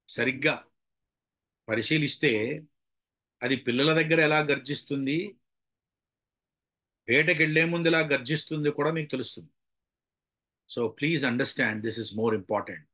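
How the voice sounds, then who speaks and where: A man's voice: medium pitch, fairly bright tone. A man with a Telugu Indian accent giving a motivational public speaking lesson, demonstrating with varied emphasis and mixing in English phrases. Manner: mixes English phrases into explanations.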